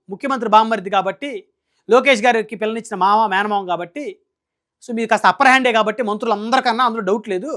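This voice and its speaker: A man delivers political news commentary at a rapid clip. He is emphatic and scolding. He often presses his point with rhetorical questions. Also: very high voice, a bit bright.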